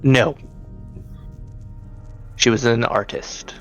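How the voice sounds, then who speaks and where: Guy game mastering a horror tabletop game, creaky fry in the voice, kinda halting, music playing under him. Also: medium pitch, steady tone, fairly bright tone.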